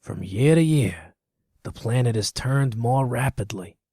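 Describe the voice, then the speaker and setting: A gravelly, husky lamplighter, acted by a man, bone-tired and resigned. He grumbles about his duty in a weary storybook drama voice. The sound is dry and close. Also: medium pitch, lively intonation, a little slow, warm tone, dry recording. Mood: weary complaint.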